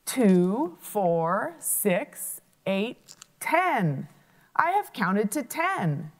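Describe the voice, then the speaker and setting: A woman's voice: medium pitch, very animated, very slow, heavily acted. A woman doing a brave young antelope. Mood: triumphant. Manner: earnest and eager. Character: young slender male antelope, brave and clever.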